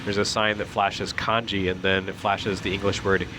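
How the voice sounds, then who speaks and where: A man's voice: sits low, flat delivery, normal speed, over music. A man giving casual, joking commentary on video game cutscenes with dry, sarcastic humour.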